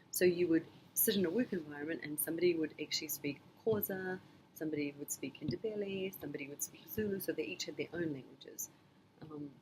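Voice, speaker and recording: A middle-aged woman from New Zealand, answering her child's interview questions in a thoughtful, measured way with frequent pauses and ums. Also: low-pitched, noisy background. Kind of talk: casual family interview.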